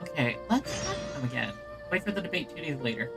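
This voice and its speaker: Animated, creaky-voiced man streaming a game. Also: a little high, fast talker. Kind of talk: casual gameplay commentary, reading game prompts. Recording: game music behind the voice.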